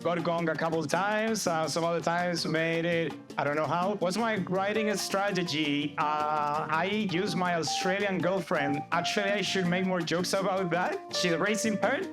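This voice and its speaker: A man's voice: sits high, normal speed, hardly any pauses. A man from Chile with a Chilean Spanish accent, a native Spanish speaker and comedian, chatting casually in an interview. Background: background music.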